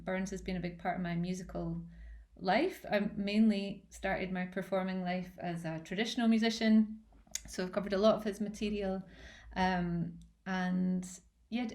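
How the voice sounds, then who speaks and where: A woman's voice: medium pitch, darkish tone. A woman with a Scottish accent, a musician giving an informal introduction of herself at an online meeting. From south-west Scotland. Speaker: musician, singer and music educator.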